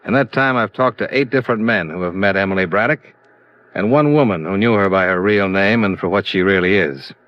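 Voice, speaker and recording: Male actor as hard-boiled investigator, lowish voice, blunt, terse, pressing, vintage radio crime drama, muffled dry recording. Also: fairly quick, no echo, natural voice. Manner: terse, matter-of-fact, pressing. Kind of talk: 1950s radio detective acting.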